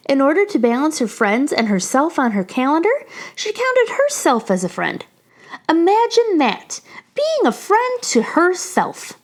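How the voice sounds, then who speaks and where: High-pitched, lively woman reading a children's story. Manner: animated storytelling. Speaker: children's story reader, channel host. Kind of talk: expressive read-aloud of a children's picture book.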